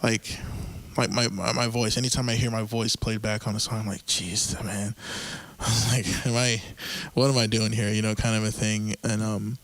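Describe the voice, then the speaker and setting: A man hosting a casual group conversation, talking in a halting way with many fillers and easy laughs. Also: lowish voice, level tone, a bit bright. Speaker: podcast host.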